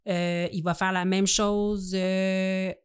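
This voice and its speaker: Female podcast co-host, Quebec French accent, conversational storyteller, smooth clear voice, close dry sound. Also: mid-range voice, little pitch movement, slow, no echo.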